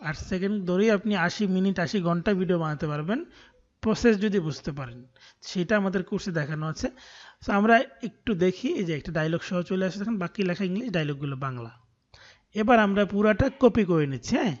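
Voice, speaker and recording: A muffled, lo-fi recording of a Bangladeshi man teaching online. His voice is high and he talks fast, explaining each step of a tutorial and checking that listeners follow. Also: expressive, a little mellow. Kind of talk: screen-recorded tutorial walkthrough.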